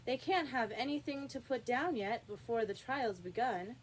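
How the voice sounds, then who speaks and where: Storybook young girl, curious and opinionated, fairly high voice , read by a woman. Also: normal speed, slight background noise, natural voice, poor audio.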